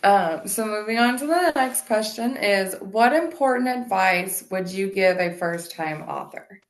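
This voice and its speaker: A woman hosting an interview podcast, chatty and animated, saying "like" a lot and laughing often. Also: medium pitch, unhurried, poor audio. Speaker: podcast host. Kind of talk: casual interview chat.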